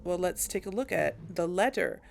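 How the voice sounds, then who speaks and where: Young female let's-play host, amused, lively pitch, faint noise behind. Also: normal speed, vocal fry. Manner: chatty, amused, thinking aloud. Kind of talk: casual gameplay commentary.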